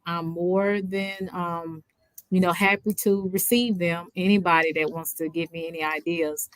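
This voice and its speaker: A woman with a low voice and a Southern accent hosts a relaxed livestream chat. She rambles, full of fillers and false starts. The sound is dry and close. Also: a little slow, no echo. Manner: chatty, many fillers and restarts. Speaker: livestream host. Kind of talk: casual live chat.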